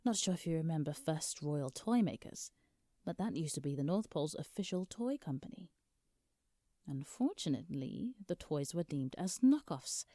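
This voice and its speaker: A woman's voice: deep voice, warm tone, no echo. A British woman playing an exasperated, businesslike lawyer in a scripted comedic audio drama, formal and dry. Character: exasperated, businesslike female lawyer for Santa Claus.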